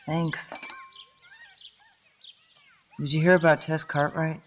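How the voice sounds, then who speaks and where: A troubled, doubting suburban woman, played by an actress, speaks in this audio drama. Her voice sits low, and her delivery is somber and questioning. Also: flat delivery, slow, slight background noise.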